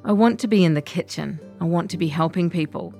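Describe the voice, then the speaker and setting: A woman's voice: on the low side, a little fast, dark tone, faint music behind. A woman reading a long-form article as scripted narration.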